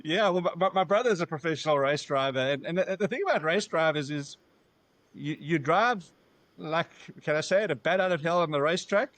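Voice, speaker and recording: A man with a South African accent, a company founder chatting as a podcast guest in a warm, joking way. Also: fairly high pitch, a little fast, dry recording. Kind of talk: casual interview chat.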